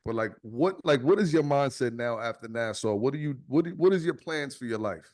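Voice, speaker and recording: A man in his thirties hosts a podcast in an easygoing, profane way. He tells stories with lots of slang and gets lively as they build. His voice sits at an ordinary middle pitch. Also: fairly quick. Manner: slangy, profane, animated storytelling. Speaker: podcast host. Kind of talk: casual podcast chat.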